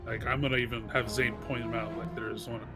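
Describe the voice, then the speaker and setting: A man's voice: mid-range voice, level tone, a little fast. A man talking casually as a player in a group tabletop game. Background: loud music.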